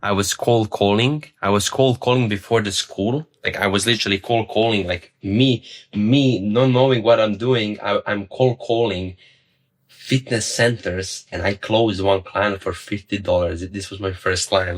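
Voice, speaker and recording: A man's voice: lowish voice, fairly even intonation, normal speed. A young man telling his own story as a vlogger, rambling, repeating words and swearing casually. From Serbia. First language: Serbian. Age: young adult. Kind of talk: personal story to camera.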